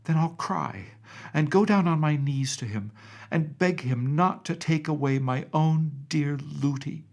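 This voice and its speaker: A man's voice: on the high side, unhurried, creaky, pitched above the performer's own voice. A man voicing a young princess girl who is innocent, affectionate and stubborn, with a pleading, childlike directness, in a storybook reading. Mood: upset, pleading.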